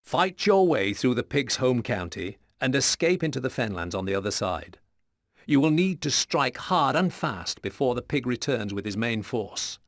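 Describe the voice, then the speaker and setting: A man delivering measured, serious scripted narration for video game mission briefings. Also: mid-range voice, a bit bright, dry recording, studio quality. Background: music with plucked guitar.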